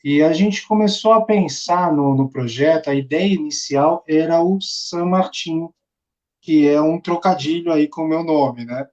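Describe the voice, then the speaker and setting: A man's voice: on the high side, unhurried, a little mellow, dull audio. A man from Chile, a martial arts instructor guest, talking easily with some hesitant restarts. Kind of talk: casual interview talk.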